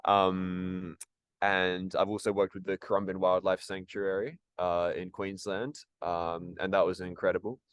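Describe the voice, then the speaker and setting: Very deep, flat-voiced young man. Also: a little slow, smooth, dry recording. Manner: hesitant, many ums and restarts. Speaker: actor and musician, interview guest. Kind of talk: casual interview answers.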